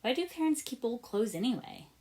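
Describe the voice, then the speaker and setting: A woman's voice: on the high side, big pitch swings, a little fast, warm tone, pitched above the performer's own voice. A woman voicing a sulky, sarcastic young boy in a read-aloud story. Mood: puzzled.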